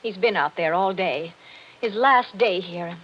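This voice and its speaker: A woman's voice: lowish voice, unhurried, close to an everyday voice. A woman acting a refined, lonely wife in a genteel, dramatic 1940s radio style. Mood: wistful. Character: refined, lonely upper-class wife hiding unhappiness. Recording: dull, noisy vintage radio recording.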